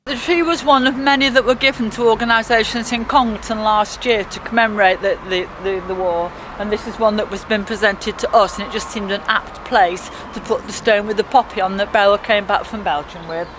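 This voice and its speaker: A woman gives an informal explanation outdoors. Her voice sits at a medium pitch, with faint ambient noise around it. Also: fairly even intonation, fairly quick, few pauses.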